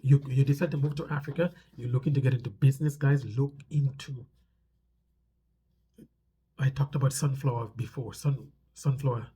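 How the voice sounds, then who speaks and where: A man's voice: mid-range voice, fairly even intonation, moderate pace, warm tone, husky. A man with a stuffy nose talks casually to viewers as a vlog host, explaining things conversationally and repeating phrases for emphasis.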